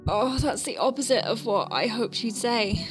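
A woman's voice: on the high side, lively intonation, normal speed, music in the background, lightly acted, poor audio. An actress playing an anxious, wry woman medic piloting a tiny craft in a sci-fi audio drama, hesitant under pressure. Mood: scared, wry.

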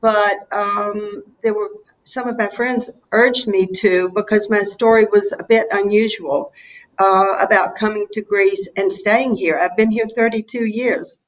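A woman in her seventies from North Carolina with a Southern US accent, chatting as an interview guest in a reflective, halting, anecdotal way. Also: mid-range voice, steady tone, rough recording. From North Carolina, USA. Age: 70s. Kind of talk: casual interview chat.

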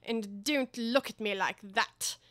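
A woman voicing a fussy, timid king who speaks slowly and decidedly. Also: mid-range voice, fairly bright tone, dry recording. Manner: slow, decided.

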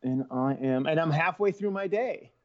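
A man's voice: fairly high pitch, normal speed, dull audio. A man in his forties from Central California, Portuguese his first language, chatting as an actor guest on a call, rambling with many ums and restarts. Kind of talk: casual interview over a call.

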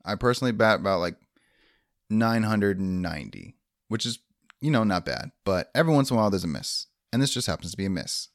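A man with a somewhat low voice co-hosting a comedy podcast, trading wry, mock-boastful jokes. He is close on the mic in a dry room with no echo. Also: very animated, fast, gravelly. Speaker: podcast co-host. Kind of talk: casual comedy podcast chat.

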